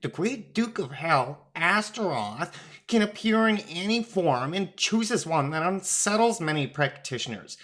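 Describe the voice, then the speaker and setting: Someone voicing an indignant ancient god, pitched up. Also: sing-song, measured pace, a bit bright. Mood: lofty, argumentative. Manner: indignant, declamatory, lofty. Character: outraged ancient Egyptian god as a floating feather quill.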